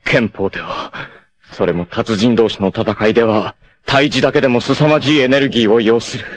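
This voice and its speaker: A man's voice: medium pitch, moderate pace, close to an everyday voice. An actor voicing a proud, fierce young male martial arts warrior in a 1980s anime, with a grave, intense and dramatic delivery.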